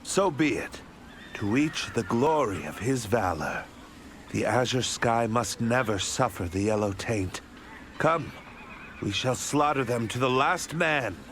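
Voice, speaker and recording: An actor playing a proud, stern warlord in a video game, grave and formal in a declamatory martial style. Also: male voice, mid-range voice, lively intonation, measured pace, a bit bright, slight background noise. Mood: resolute, stern. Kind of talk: video game cutscene acting.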